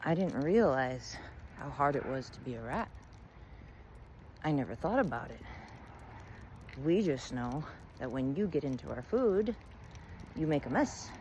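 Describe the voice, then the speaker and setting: Storyteller as an emphatic, expressive old woman. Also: rumbling low, unhurried, pauses often, a little hiss or hum, pitched below the performer's own voice, heavily acted. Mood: reflective. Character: old woman, blunt and wary, later humbled. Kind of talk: oral storytelling.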